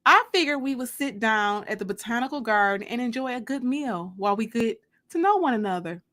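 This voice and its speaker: Lady doing a smooth young guy on a date, audiobook style, casual and straight up. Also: fairly high pitch, expressive, a little fast, fairly bright tone. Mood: friendly, attentive. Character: smooth, candid young male suitor, ex-convict.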